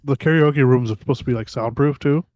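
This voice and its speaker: Soft spoken young dude on a podcast, dry close mic, kinda quiet and chill. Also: male voice, mid-range voice, fast, dry recording. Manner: soft-spoken, casual, swears casually. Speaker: podcast co-host. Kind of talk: casual chat.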